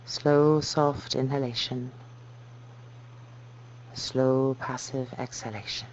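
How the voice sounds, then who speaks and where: Muffled, soft-spoken woman guiding meditation. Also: rumbling low. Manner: slow, soft, calming delivery with measured phrases. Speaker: yoga teacher. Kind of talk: guided meditation instruction. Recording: dull muffled recording with noise and hum.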